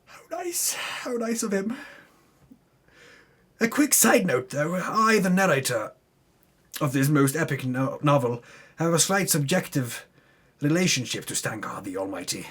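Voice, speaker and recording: A man's voice: a little high, sing-song, unhurried, pauses often, a bit bright, slightly rough. A man giving a dramatic, mock-epic narration of a fantasy campaign recap, grandiose in delivery with dry, tongue-in-cheek asides. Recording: music under the voice. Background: background music.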